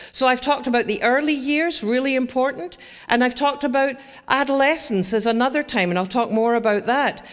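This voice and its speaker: A woman with a Scottish accent gives a chatty educational talk to parents and often asks the audience questions. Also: a little high. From Scotland. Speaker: presenter. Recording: long hall reverb.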